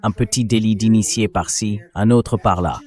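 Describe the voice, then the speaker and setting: A man's voice: lowish voice, moderate pace, darkish tone. A man's AI dubbing voice delivering blunt, indignant, sarcastic political commentary. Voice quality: synthetic AI dubbing voice.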